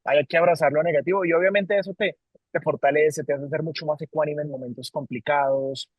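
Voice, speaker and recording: A man's voice: fairly high pitch, steady tone, a little fast, breathy edge, dry recording, dull audio. A man with a Colombian accent, a company leader answering interview questions in an earnest, reflective way, often repeating words and ending sentences with "¿no?". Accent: Colombian Spanish. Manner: earnest, repeats words while searching for them, ends lines with "¿no?". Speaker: guest, company CEO.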